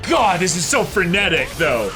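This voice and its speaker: A man's voice: high-pitched, sing-song, moderate pace. A man giving a casual, exclamatory live reaction to an anime episode, swearing freely. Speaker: reaction show host. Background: music from the episode being watched.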